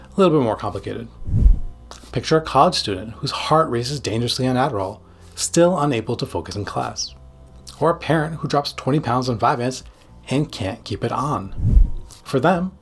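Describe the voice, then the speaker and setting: A man in his forties, a psychiatrist hosting a scripted explainer to camera with emphatic, dramatic phrasing and a slightly husky voice. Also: medium pitch. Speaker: psychiatrist and video host. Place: small home studio room. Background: background music.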